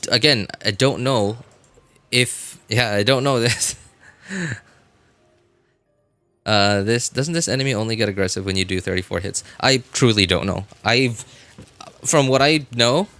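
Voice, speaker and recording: A man's voice: mid-range voice, a bit bright, no room sound. A man livestreaming a game, chatting casually with viewers and thinking aloud in a rambling way. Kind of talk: casual gaming stream chat with viewers.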